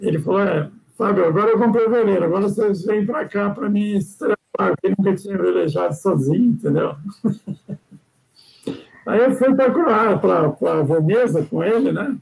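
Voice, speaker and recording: An older Brazilian man with a high, gravelly voice tells sea stories in a loose, rambling chat. He keeps adding little questions to his sentences and restarts his thoughts as he goes. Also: moderate pace, a little mellow, low-quality recording. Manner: rambling, frequent "né?" tags and restarts. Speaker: guest sailor. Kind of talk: casual storytelling chat.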